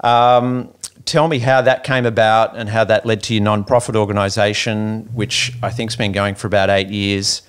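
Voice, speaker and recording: A middle-aged man hosting an interview podcast, talking in a loose, halting way full of restarts and warm praise for his guest. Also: on the low side, little pitch movement, clean tone. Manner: rambling, many fillers and restarts, admiring. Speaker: podcast host. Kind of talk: casual interview chat.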